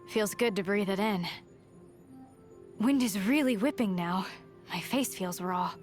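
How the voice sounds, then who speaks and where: Casting: actress as resolute young huntress for a video game, plain-spoken and self-contained, mid-range voice. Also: female voice, mid-range voice, a little mellow, lightly acted. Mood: matter-of-fact. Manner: plain-spoken, understated, determined. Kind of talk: video game character voice lines.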